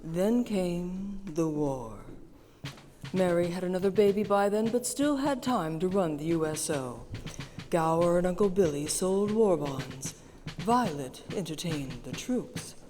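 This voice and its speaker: A woman narrating a live radio play with measured, unhurried storytelling. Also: fairly low pitch, lively intonation, warm tone, faint music behind. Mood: calm. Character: calm storytelling female narrator. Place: theater stage. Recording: echoey theater hall.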